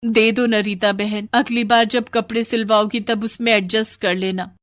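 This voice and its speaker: A woman's voice: on the high side, little pitch movement, normal speed, no echo, natural voice. A woman acts out a poor seamstress mother from a moral tale. She is humble and worried, pleading earnestly with those around her. The sound is close and dry. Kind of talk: Hindi moral story dramatized narration.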